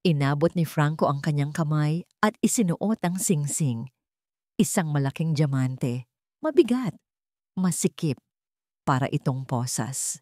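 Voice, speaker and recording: A woman narrating a drama story in a measured, dramatic storytelling manner. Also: sits low, expressive, warm tone, dry recording, studio quality.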